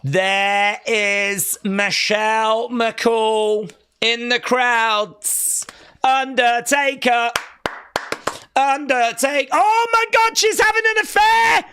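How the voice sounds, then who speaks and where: A man's voice: very high voice, very slow, pushed and bright, clean tone. A man hosting a casual wrestling watch-along stream, reading viewer messages aloud and teasing them playfully. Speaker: live-stream host reading chat.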